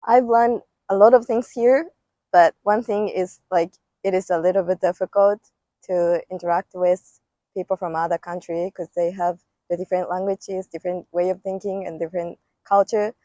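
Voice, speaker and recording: A woman's voice: fairly low pitch, fairly even intonation, normal speed, dark tone, no echo, highs cut off. A young woman from Japan with a Japanese accent, a student giving earnest, simply phrased interview answers.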